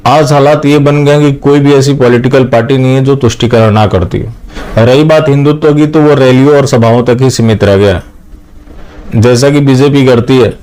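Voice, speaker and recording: A man's voice: medium pitch, a little mellow. Man giving opinionated political commentary. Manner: opinionated, critical. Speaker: host of a political news commentary channel. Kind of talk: political commentary addressed to viewers.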